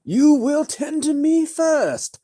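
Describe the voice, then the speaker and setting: A narrator voicing a shrill, demanding, self-important noblewoman who screeches her complaints. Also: big pitch swings, takes it slowly, warm tone, exaggerated voice. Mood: shrill imperious. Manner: screeching, imperious.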